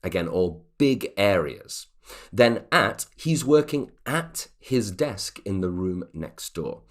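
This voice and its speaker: A man's voice: on the low side, lively intonation, slow. A man teaching an online English grammar lesson, explaining patiently and checking in with the viewer as he goes.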